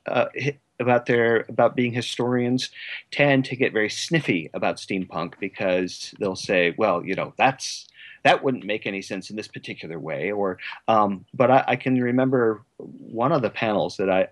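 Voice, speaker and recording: A man speaking as an interview guest, conversational and hesitant, circling around his points with many hedges. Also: medium pitch. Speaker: interview guest, writer and director.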